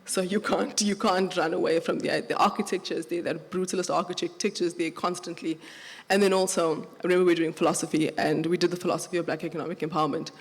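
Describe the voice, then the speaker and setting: A woman with a flat Johannesburg accent, speaking as a panelist in a live festival discussion with animated storytelling. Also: lowish voice, fast, slightly rough. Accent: Johannesburg South African. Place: festival venue with long reverb. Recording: live hall recording with reverb.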